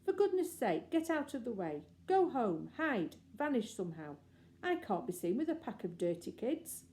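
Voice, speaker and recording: A woman's voice: fairly high pitch, big pitch swings, normal speed, mellow. Woman putting on a pompous, haughty young man. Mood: irritated, urgent. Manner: languid, airy, haughtily polite. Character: pompous, irritable young man, airily condescending to children.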